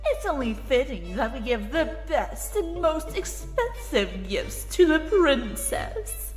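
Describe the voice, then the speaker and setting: A woman's voice: high voice, big pitch swings, takes it slowly, full character voice. A woman voicing a rich, haughty unicorn mare who talks down to others in a condescending drawl for a cartoon fan drama. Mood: smug, haughty. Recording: long reverb with music behind.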